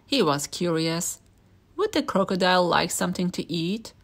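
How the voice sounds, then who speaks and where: A woman's voice: lowish voice, lively intonation, normal speed. A woman reading a children's picture book aloud as a storyteller.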